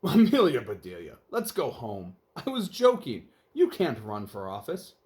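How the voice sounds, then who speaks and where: A man's voice: mid-range voice, huge pitch swings, unhurried, darkish tone. A man voicing a grumbly, teasing husband in a children's storybook, with emphatic delivery. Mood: urgent.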